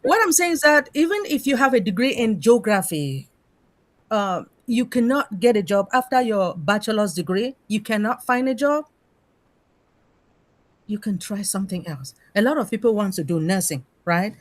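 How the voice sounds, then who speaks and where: A woman's voice: medium pitch, very animated, normal speed, dry recording. A middle-aged woman with an African accent, speaking emphatically in an informal discussion and putting rhetorical questions. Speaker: panel member in an open discussion.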